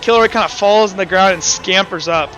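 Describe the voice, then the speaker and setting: A man with a high, very bright voice acts as game master for a tabletop roleplaying game. He improvises scenes and rulings in halting, stop-start phrases, with faint music behind him. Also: poor audio.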